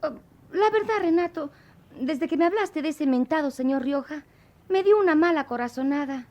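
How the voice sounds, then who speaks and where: A woman's voice: sits high, expressive, normal speed, darkish tone. A woman acting an inquisitive, uneasy young friend in a radio drama, confiding and melodramatic, on a dull recording.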